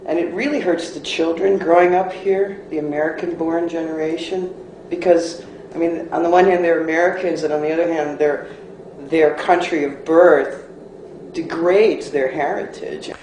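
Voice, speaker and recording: A man's voice: a little high, steady tone, moderate pace, a little mellow. A man giving earnest spoken commentary. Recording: roomy echo with some background noise.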